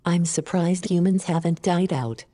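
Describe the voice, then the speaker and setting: A woman playing a curious, blunt female artificial intelligence in an audio drama, asking short, flat questions. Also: lowish voice, unhurried, big-room sound. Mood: curious, unimpressed. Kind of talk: scripted audio drama dialogue.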